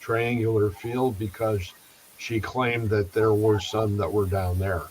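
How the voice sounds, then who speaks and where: A man's voice: fairly low pitch, steady tone, slow, darkish tone, slight background noise, rough recording. A male host of a paranormal talk show, rambling and searching for names as he tells stories. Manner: rambling, hunts for names, trails off. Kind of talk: casual panel chat.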